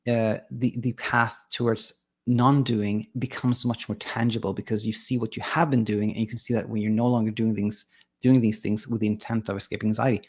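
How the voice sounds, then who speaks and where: A man's voice: on the low side, a little mellow. A man whose first language is Swedish, working as a sleep coach, explaining ideas in a hesitant way with many restarts and fillers. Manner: reads viewer comments aloud. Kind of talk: explanatory monologue.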